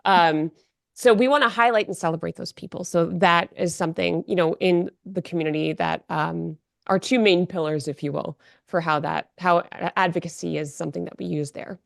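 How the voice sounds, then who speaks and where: A woman on a non-profit board explaining her group's work in a podcast interview, measured and full of "you know". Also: on the low side, steady tone, creaky, dry recording, studio quality.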